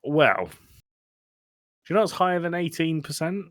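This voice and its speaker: A man's voice: fairly high pitch, sing-song, normal speed, a bit bright, dry recording, clean studio sound. A man hosting a podcast, chatting casually and jokingly about films. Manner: joking, conversational. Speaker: podcast host. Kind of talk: casual film discussion.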